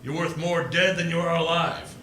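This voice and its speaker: Miserly old male tycoon played by a man, forceful and sneering, radio play, roomy stage sound. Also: fairly high pitch, flat delivery, normal speed. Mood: scornful. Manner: sneering, commanding, interrogating. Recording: roomy live stage, faint ambient noise.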